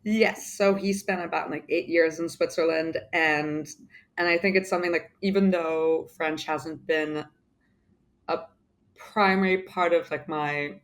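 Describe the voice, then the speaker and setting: A woman's voice: low-pitched, fairly even intonation, a little slow. A young adult woman as an interview guest, talking in a thoughtful, casual way with many "like" and "um" fillers. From mainly the UK.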